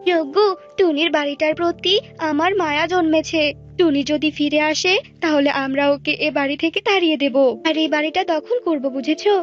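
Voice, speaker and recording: A woman's voice: high-pitched, fairly even intonation, moderate pace, highs cut off, faint music behind, close to an everyday voice. A woman voicing a greedy, vain, scheming female bird wife in a cartoon, bossy and theatrical. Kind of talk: animated fable character acting.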